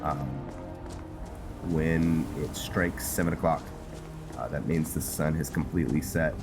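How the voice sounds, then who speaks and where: Male gameplay host, very low voice, casual and halting delivery, music clearly behind him. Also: steady tone. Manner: halting, thinking aloud with pauses mid-sentence. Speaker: gameplay host narrating a roleplay series. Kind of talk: casual, rambling gameplay commentary.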